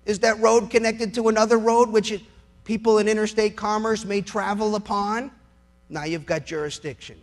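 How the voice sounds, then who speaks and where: A man with a notably high voice delivers a persuasive legal and political speech. He is emphatic and incredulous, leaning on rhetorical questions as he argues like a lawyer addressing the public. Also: steady tone, normal speed. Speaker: lawyer giving a public talk.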